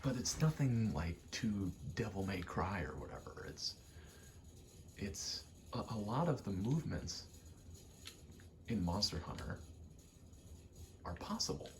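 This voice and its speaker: A man with a very deep, hushed voice talks in a casual ASMR ramble about what he is doing in a game. He takes his time, moving slowly in short, halting pieces and often dropping into a whisper. There is faint noise behind him. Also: big pitch swings. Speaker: ASMR creator, gamer; actor and stage combatant.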